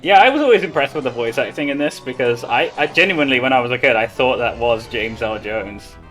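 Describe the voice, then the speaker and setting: A man's voice: mid-range voice, expressive, brisk, a bit bright. Casual man commentating over game music. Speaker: speedrunner playing live at a gaming marathon.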